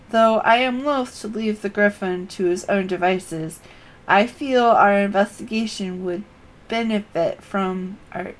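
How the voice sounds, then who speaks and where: A stern old scholar mage speaking in stiff, archaic phrases, read out by a woman in her own voice. The words come steady and projected, with plain pitch and little put-on color. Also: close to an everyday voice, rough recording. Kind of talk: reading game dialogue aloud.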